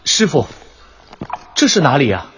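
A man's voice: a little high, sing-song, measured pace, muffled recording, slight background noise. An actor playing a young male martial arts disciple, earnest, questioning and puzzled, in a Chinese radio drama. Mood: bewildered, eager.

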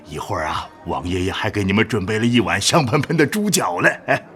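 A man's voice: lowish voice, lively intonation, a little fast, fairly bright tone, soft background music. A man playing a kindly, generous old restaurant cook in a radio drama, effusive and hospitable. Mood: hearty, welcoming. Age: older.

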